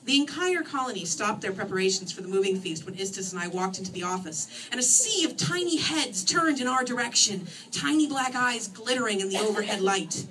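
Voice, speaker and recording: A woman reading her first-person novel aloud in an expressive, theatrical and wry manner. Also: mid-range voice, fairly quick, few pauses. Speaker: author reading own novel. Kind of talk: animated first-person story reading to an audience. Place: screening room, live reading. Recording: distant microphone in a room.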